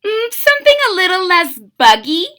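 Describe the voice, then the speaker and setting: A woman voicing an eager young girl witch who loves creepy things, in a children's storybook, with emphatic, drawn-out words. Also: very high-pitched, big pitch swings, bright, forceful tone, pitched above the performer's own voice, full character voice. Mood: decided. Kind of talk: children's storybook read-aloud.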